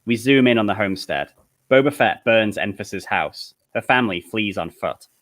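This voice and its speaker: A man's voice: fairly low pitch, normal speed, a bit bright. A man reading stage directions as scripted narration at a comedic table read.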